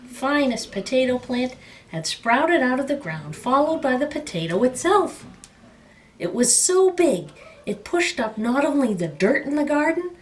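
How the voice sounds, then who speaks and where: A woman storyteller reading a picture book aloud with expressive, dramatic and emphatic phrasing. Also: on the high side, a little hiss or hum.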